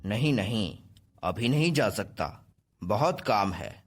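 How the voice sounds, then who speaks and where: A man playing a busy, exasperated middle-aged father in an animated children's story, explaining things in a deliberate, expressive way. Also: on the low side, husky, full character voice. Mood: reluctant.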